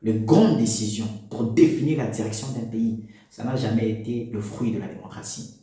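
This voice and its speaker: A man's voice: on the low side, normal speed, dark tone. Preachy man in echoey room. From DR Congo. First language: Congolese national language. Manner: preachy, exhorting, full of rhetorical questions. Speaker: commentator addressing parents. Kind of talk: opinion monologue to camera.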